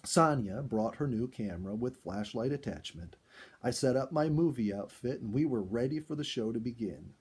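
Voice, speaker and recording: A man reading a first-person animal story aloud in an expressive, dramatic storytelling style. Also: medium pitch, moderate pace, slightly rough. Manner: expressive, acts out dialogue and exclamations.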